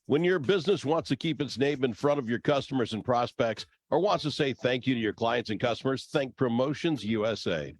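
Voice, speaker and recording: A man's voice: medium pitch, lively intonation, brisk, hardly any pauses. A man reading a scripted radio advertisement as an announcer. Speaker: commercial announcer.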